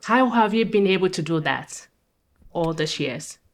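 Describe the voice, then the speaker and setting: A woman from the audience stands to ask a question, her voice sitting a little low. She speaks loudly and thanks the speakers before asking. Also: lively intonation, measured pace. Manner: says she has a loud voice. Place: event hall.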